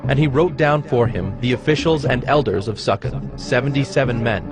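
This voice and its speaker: A man narrating dramatized scripture in a solemn, measured storytelling manner. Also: mid-range voice. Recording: clear music behind the voice.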